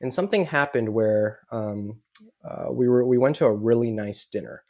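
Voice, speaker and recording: A man's voice: mid-range voice, normal speed, a little mellow. An American man telling a personal story as a podcast guest, in an earnest way and with lots of "you know" fillers. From United States. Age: adult with children.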